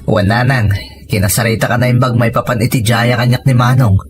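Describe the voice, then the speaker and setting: A man's voice: mid-range voice, steady tone, a little fast, natural voice. Guy playing an earnest young ilocano husband in a radio-style drama, reassuring, music underneath. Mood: hesitant, worried. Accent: Ilocano Filipino. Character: earnest young husband leaving to work abroad. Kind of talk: audio drama dialogue. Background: background music.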